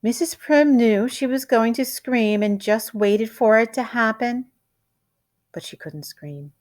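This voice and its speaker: Female storybook reader, teacherly and expressive, unhurried pace, deliberate dramatic delivery for young children. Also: on the high side. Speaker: teacher reading a picture book aloud.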